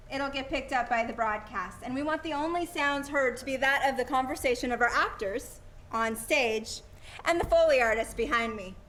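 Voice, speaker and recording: A woman's voice: sits high, a bit bright, a little hiss or hum, low-quality recording. A woman speaking as director and host of a live radio play, addressing the audience and giving cues.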